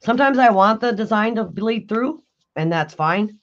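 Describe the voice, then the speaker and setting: A woman with a raspy, slightly hoarse voice talks viewers through a craft project in a relaxed, chatty way, greeting them and answering their questions as she works. Her pitch sits in a middle range. The audio is a little dull and lo-fi, like a home live stream. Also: moderate pace. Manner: chatty, answers viewer comments while working. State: hoarse after illness. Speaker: craft video host. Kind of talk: live craft tutorial chat. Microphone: overhead camera on a craft table.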